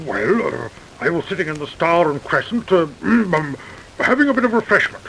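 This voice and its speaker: An actor playing a pompous, indignant British gentleman major who blusters and stammers, in an old-time radio drama. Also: on the high side, expressive, normal speed, vocal fry. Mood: blustering, defensive. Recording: noisy vintage radio recording.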